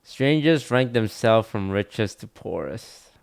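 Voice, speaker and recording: A man's voice: fairly low pitch, animated, unhurried, creaky, clean tone. A man streaming, reacting to a video with dry, blunt, teasing remarks. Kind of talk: casual live-stream commentary.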